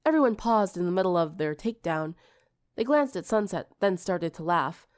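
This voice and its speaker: A woman's voice: on the low side, animated, moderate pace, darkish tone. A woman reading scripted fiction aloud as an audiobook narrator.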